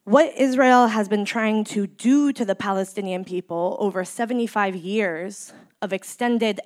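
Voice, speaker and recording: Woman in her 30s, community organizer giving a speech, slow deliberate pauses, grieving but firm, mid pitched. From San Francisco Bay Area, United States. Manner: measured, emphatic delivery with deliberate pauses. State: in mourning. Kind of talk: impassioned speech.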